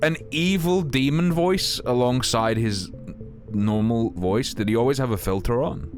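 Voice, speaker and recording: A man's voice: medium pitch, measured pace, darkish tone. A man streaming a game with casual, joking commentary and crude, sardonic quips. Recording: game music behind.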